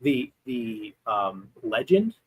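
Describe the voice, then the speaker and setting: A man's voice: fairly low pitch, big pitch swings, slow, breathy edge, low-quality recording. A man appearing as a guest expert, explaining history in a conversational way with frequent ums and restarts. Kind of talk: explaining history in casual chat.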